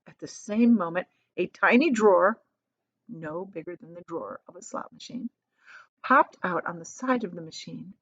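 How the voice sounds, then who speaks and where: A woman's voice: on the low side, animated, normal speed, fairly bright tone, slightly rough. Woman storyteller, slightly dull recording. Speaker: storyteller reading aloud. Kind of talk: expressive children's book narration.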